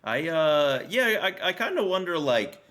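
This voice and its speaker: A young American man hosting a stream, chatting casually in an easygoing, halting way. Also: a little high, big pitch swings, sharp tone. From United States. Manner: easygoing, halting, lots of fillers and laughs. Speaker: streamer host. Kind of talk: casual chat.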